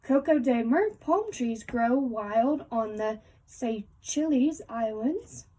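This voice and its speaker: Female teacher for picture book read-aloud: slow delivery, soft-edged husky tone, talks directly to kids. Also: fairly high pitch, expressive. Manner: explanatory, addressing young listeners directly.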